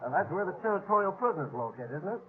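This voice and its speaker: An actor playing a chatty, eager, polite traveler in a 1950s western radio drama. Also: male voice, sits high, sing-song, quick pace, slightly rough, exaggerated voice. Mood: inquisitive. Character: chatty, eager, inquisitive gentleman traveler on a frontier stagecoach. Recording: muffled vintage radio recording.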